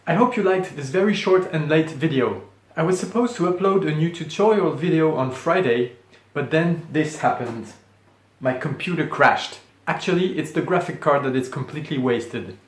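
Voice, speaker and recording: Male video host, slightly high voice, casual address to viewers, recorded in a roomy echo. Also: lively intonation, moderate pace. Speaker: channel host, sound designer.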